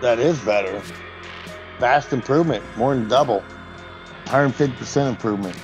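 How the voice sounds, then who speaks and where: A man's voice: mid-range voice, moderate pace, highs cut off, music in the background. A man playing a tabletop game, chatting casually with playful, slangy banter.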